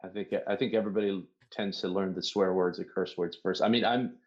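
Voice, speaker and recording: A middle-aged man from Southern California, Spanish his first language, talks in a low register through a dull, lo-fi recording. He tells stories loosely, acting out what others said and swearing freely in a casual podcast chat. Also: fairly even intonation, a little fast, a little mellow. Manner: swears freely, storytelling with mock quotes. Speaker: podcast guest.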